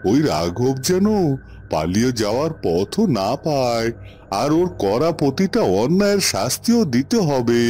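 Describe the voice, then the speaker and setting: A man voicing a loyal, cautious royal astrologer counselling a prince in a fairy-tale cartoon, earnest and deliberate. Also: medium pitch, very animated, darkish tone, a little hiss or hum. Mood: grave resolve.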